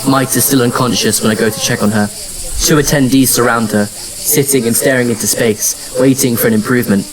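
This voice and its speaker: A man narrating a scripted audio drama in the first person, with measured, serious storytelling. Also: medium pitch, fairly even intonation, a crowd in the background, rough recording. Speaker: narrator and lead actor.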